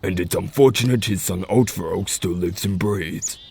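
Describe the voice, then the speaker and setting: A man playing a solemn, middle-aged wolf-pack leader and battle veteran in a scripted fantasy drama, speaking with measured authority. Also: low voice, gravelly, background noise. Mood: grave.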